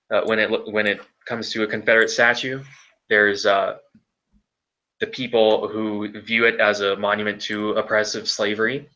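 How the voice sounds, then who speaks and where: A man from Hawaii, a guest on a podcast, giving his view in a measured, hesitant way with frequent pauses. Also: on the low side, bright, forceful tone, muffled recording. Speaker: guest, history video creator. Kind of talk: podcast discussion.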